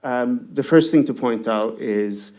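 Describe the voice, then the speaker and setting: A man's voice: medium pitch, unhurried, darkish tone. A male university researcher giving a conference presentation, talking hesitantly with lots of ums, restarts and trailing phrases. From not from Galway.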